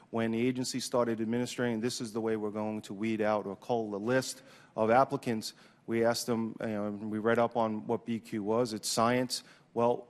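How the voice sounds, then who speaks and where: Man, hearing witness, unscripted testimony, medium pitch, echoing room. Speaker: union leader testifying as witness. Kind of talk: spoken testimony, unscripted answers. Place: large indoor hearing room.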